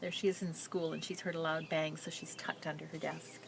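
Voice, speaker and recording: A woman reading a picture book aloud, telling the story and pausing with simple explanatory asides for young listeners. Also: low voice, level tone, vocal fry, noisy background.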